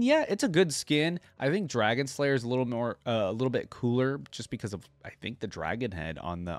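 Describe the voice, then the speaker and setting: A man's voice: fairly low pitch, no echo. Casual man reacting, close dry sound. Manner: informal, slangy, thinking aloud. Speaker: reaction video host.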